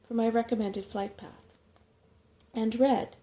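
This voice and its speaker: A woman voicing a self-important, grasping little male rat mapmaker in a soft-spoken storybook reading, with a fussy, portentous manner. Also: on the high side, fairly even intonation, slow pace. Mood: portentous, instructive. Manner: portentous, fussy, easily affronted.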